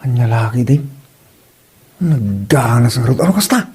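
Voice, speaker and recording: A man acting in a comedic audio drama as an excitable friend who ribs his buddy. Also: medium pitch, normal speed, breathy edge. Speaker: friend of the driver. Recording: music bed behind the voice.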